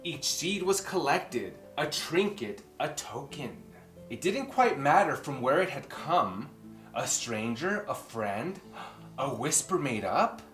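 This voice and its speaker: Guy hosting a kids book club, super animated and theatrical, roomy echo. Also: medium pitch, slow, faint music behind. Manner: theatrical, exclamatory, addresses children directly. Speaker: host of a children's book club and story time. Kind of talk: animated children's book presenting and read-aloud. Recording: roomy echoing room sound.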